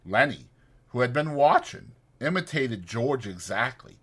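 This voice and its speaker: A man reads literary fiction as an audiobook narrator. His voice is husky and forceful, with deliberate, expressive phrasing and a very lively pitch. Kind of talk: dramatized scripted narration of a literary novel.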